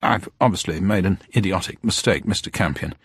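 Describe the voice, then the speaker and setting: A man's voice: sits low, fairly quick, a bit bright, husky, no room sound, studio quality. A man voicing a plump, composed, suspicious man who questions in a pointed, insistent way. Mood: coolly menacing.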